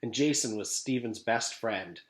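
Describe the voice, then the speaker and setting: A man's voice: medium pitch, a little slow. A man reading a children's story aloud as a teacher would to a class. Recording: echoey room, home-made reading.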